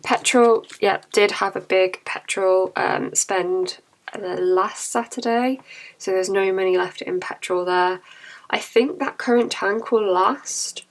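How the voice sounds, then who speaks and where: A young woman chatting casually to camera about her budget, her voice croaky and cracking from a cold, with chatty, apologetic asides. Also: mid-range voice, slow. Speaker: budgeting vlogger.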